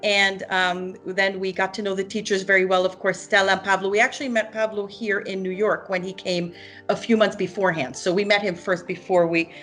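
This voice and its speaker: A Hungarian-born mother in her forties talks through a parent testimonial at a middle pitch. She pauses often, fills gaps with ums and restarts her sentences. Soft music plays faintly behind her. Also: flat delivery. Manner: hesitant, many ums and restarts. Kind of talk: unscripted testimonial.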